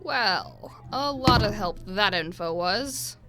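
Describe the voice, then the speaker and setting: A woman's voice: medium pitch, lively intonation, takes it slowly. A woman playing a feisty, sarcastic young pilot on a solo mission in a sci-fi audio drama, given to wry muttering and swearing. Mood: wry, confident. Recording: loud vehicle and ambient effects noise.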